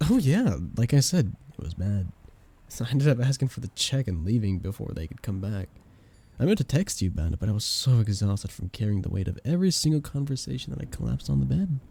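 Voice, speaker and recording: A young man playing a playful, affectionate best friend in a casual ASMR roleplay, speaking in a soft, teasing, expressive way. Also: medium pitch, brisk, gravelly, breathy edge, exaggerated voice. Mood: tired, venting. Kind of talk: ASMR roleplay, casual intimate chat with listener.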